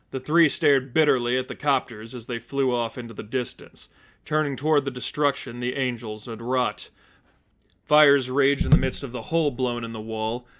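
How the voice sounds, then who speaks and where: A man's voice: mid-range voice, a bit bright. A man reading fan fiction aloud as a scripted story narrator.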